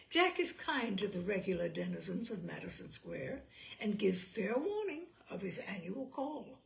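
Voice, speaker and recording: A woman reading a literary short story aloud in an expressive, measured and deliberate way, with theatrical phrasing. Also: mid-range voice, creaky.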